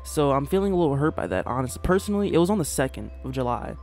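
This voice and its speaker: A man's voice: medium pitch, sing-song, rapid-fire, darkish tone, soft background music. A young man casually reacting to a rap song from his small bedroom, slangy and laid-back, swearing often. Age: young adult. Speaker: music reaction youtuber. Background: hip hop track playing.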